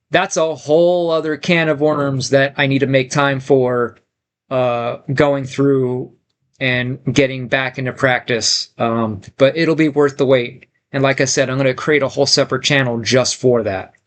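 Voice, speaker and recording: A man's voice: medium pitch, fairly even intonation, a little slow. A man casually chatting on a livestream, reading viewer comments aloud and rambling with lots of fillers and restarts. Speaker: streamer reading viewer chat.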